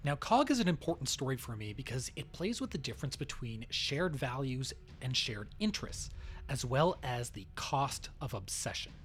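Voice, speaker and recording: A man's voice: medium pitch, very animated, normal speed, soft background music. A man hosting a podcast, reading scripted narration as a writer presenting his work.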